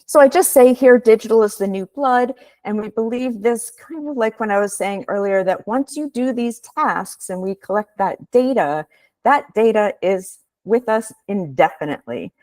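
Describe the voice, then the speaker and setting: A woman researcher giving a measured, explanatory webinar presentation over a video call. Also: fairly high pitch, animated, a little mellow, no room sound.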